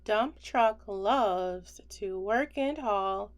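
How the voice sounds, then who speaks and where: Very slow-paced woman reading a bedtime story. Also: medium pitch. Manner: gentle, deliberate phrasing with hushed goodnights. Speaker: children's book read-aloud host. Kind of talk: slow, expressive bedtime picture-book reading.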